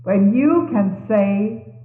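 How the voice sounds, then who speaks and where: A woman reading religious messages aloud in a solemn, measured and formal manner, on a 1970s tape. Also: medium pitch, animated. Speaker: religious reader. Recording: 1970s tape, thin phone-like band, echoey room.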